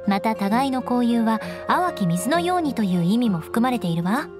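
A woman's voice: fairly high pitch, lively intonation, normal speed, over music, natural voice. An actress playing a proud, cool young noblewoman in an anime game, composed and instructive with a haughty edge.

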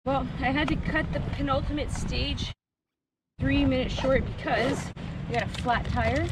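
A woman in her thirties talking casually and matter-of-factly to her camera as a cyclist vlogging her training, upbeat and chatty. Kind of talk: casual first-person vlog. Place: outdoors on a racetrack and roadside. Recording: noisy outdoor action-camera audio, vehicle-like rumble.

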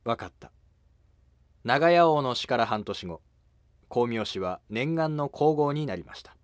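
A man's voice: medium pitch, animated, measured pace, smooth, dry recording, studio quality. A man narrating history from a script as the narrator of a drama series.

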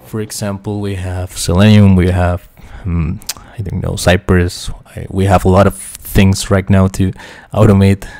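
A young man from Costa Rica with a Spanish accent, a QA engineer talking through a software tutorial with many fillers and restarts. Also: deep voice, little pitch movement, a little slow, creaky, hardly any pauses, dry recording. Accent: Costa Rican Spanish. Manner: hesitant, many fillers and restarts, quietly talks to himself. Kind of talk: screen-share tutorial explanation.

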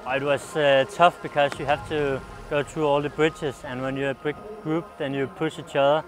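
A man's voice: a little high, little pitch movement, normal speed, rough recording. A young man from Denmark, an athlete giving a casual interview. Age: young adult. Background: background music.

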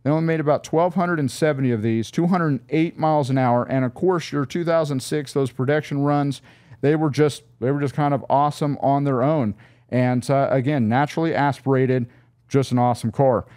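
A man's voice: mid-range voice, a little fast, a little mellow, clear, resonant tone. A middle-aged man hosting a car podcast in casual, rambling chat, often restarting his sentences, recorded on a studio mic in a showroom set. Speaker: podcast host. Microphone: studio mic on a boom arm.

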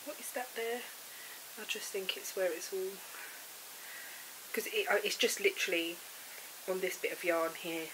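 A woman's voice: mid-range voice, normal speed, pauses often, noisy background. A woman, congested with a cold, chatting casually and rambling with many ums about her knitting, on a sofa at home. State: congested with a cold and sore throat. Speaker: knitting vlogger. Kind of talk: casual chat to camera. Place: living room sofa indoors.

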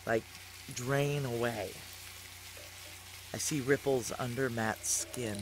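Narrator-protagonist, slow, hushed, halting, faint noise behind. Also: male voice, medium pitch. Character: awestruck narrator-protagonist describing an eerie scene. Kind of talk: intimate first-person audio drama narration.

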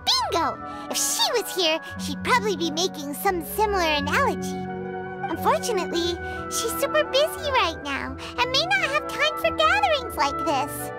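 A woman voicing a small, excitable floating fairy girl companion in a video game, bubbly and talking about herself by name. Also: extremely high pitch, moderate pace, loud music behind the voice, full character voice. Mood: chirpy.

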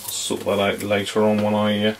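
A middle-aged man giving an unhurried, muttered, casual commentary as he works at his bench. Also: fairly low pitch, completely flat delivery, background noise. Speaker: vintage radio hobbyist presenter. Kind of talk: casual hands-on demonstration commentary. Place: small indoor electronics workbench.

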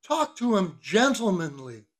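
Storybook part: a teasing, bossy male ship's mate, a woman reader voicing him, slow and scolding. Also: mid-range voice, very animated, voice pushed down. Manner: scolding, commanding.

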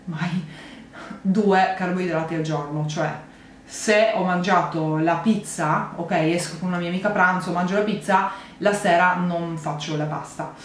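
A woman in her thirties chatting casually to camera as a vlogger, rambling and correcting herself in an animated way. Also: fairly low pitch, a little slow. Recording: echoey room, faint noise.

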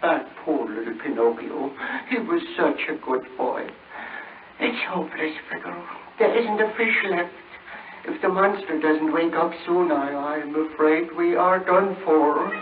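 High-voiced, excitable old father Geppetto. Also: a little slow, far from the mic. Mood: despairing. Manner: plaintive, fussing, talks to his cat. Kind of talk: 1930s radio acting. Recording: muffled, hissy, echoing 1939 radio recording.

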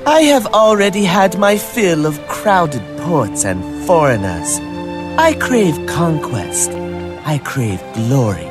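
A venomous royal admiral for a game, theatrical and contemptuous, very dramatic melodic swings. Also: male voice, on the high side, very animated, takes it slowly. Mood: haughty, sneering. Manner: imperious, sneering. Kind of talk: theatrical game cutscene acting. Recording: game audio with loud music behind.

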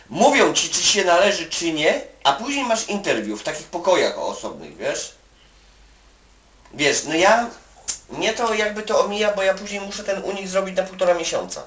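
A man's voice: high-pitched, fairly bright tone. A man from Poland chatting casually on the phone, giving advice in a rambling, colloquial way full of "you know" tags. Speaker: Polish migrant worker advising an acquaintance. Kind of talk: casual one-sided phone chat. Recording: echoey room, distant from the mic.

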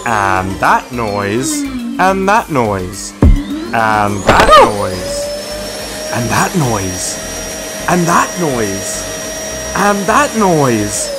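Guy doing a grumpy drama queen cat for kids book, really slow, pitch all over the place. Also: full character voice. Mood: uneasy, insistent. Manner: deadpan grumbling with melodramatic outbursts. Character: grumpy, dramatic, easily spooked house cat. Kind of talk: children's storybook read-aloud.

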